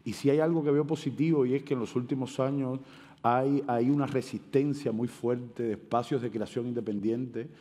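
A man from Cuba in his sixties with a Cuban accent, speaking on a panel debate, animated and hesitant, on a headset mic on an auditorium stage. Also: medium pitch. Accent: Cuban Spanish. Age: 60s. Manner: animated, gestures widely, many hesitations. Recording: long hall reverb.

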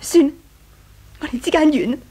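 An actress playing a wronged, resolute young wife in a 1980s radio drama, with a Hong Kong Cantonese accent. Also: female voice, high voice, a little fast, crowd noise, close to an everyday voice. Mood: cold, hurt.

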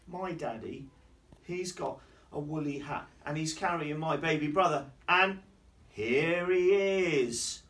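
A man voicing an excitable little girl in a children's storybook, breathless and boastful, with emphatic repetition. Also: a little high, slow, pitched above the performer's own voice. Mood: proud. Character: excitable little girl eagerly telling daddy her adventures. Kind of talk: children's storybook read aloud. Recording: distant mic with faint background noise.

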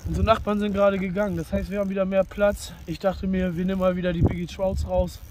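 High-pitched man vlogging, background noise. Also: level tone, fast talker. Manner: colloquial, addresses viewers as friends. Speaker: fishing vlog host. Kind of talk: casual vlog commentary to viewers.

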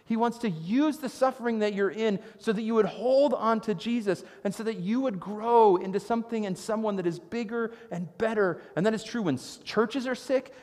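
A man in his thirties preaches from a church stage, his voice sitting a touch high for a man. He tells stories with lively gestures, and the sound carries a long church-hall reverb. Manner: animated storytelling, gesturing while preaching. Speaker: pastor. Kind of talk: sermon.

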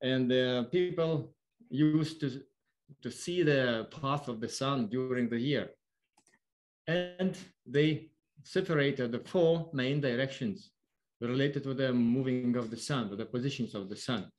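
Lithuanian man lecturing in halting English. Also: mid-range voice, a little mellow. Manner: halting, non-fluent English. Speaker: observatory worker giving a lecture. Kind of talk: explanatory online lecture. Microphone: online video call.

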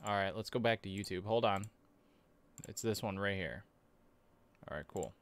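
A young man giving casual, excitable reaction commentary as a gaming streamer, often repeating words. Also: on the low side, moderate pace, clear, resonant tone. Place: small cluttered gaming room at home. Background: game trailer music.

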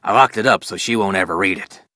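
Video game role: weathered frontier lawman and father, low forceful voice, dry close recording, rural Western American accent, plainspoken. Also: quick pace, no echo. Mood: regretful, sorrowful. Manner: folksy, plainspoken. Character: weathered Old West sheriff, grieving, stern, loving father. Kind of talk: scripted diary reading in a video game.

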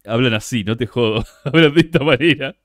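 A man's voice: medium pitch, brisk, no room sound, clean studio sound. A young Argentine man who is a guest on a livestream interview, chatting casually and animatedly.

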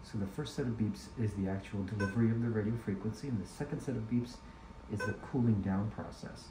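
A man working as an ear, nose and throat doctor, explaining a procedure to a patient in a measured, instructive way. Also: lowish voice, over music. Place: small clinic exam room, indoors. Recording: roomy clinic room with background noise.

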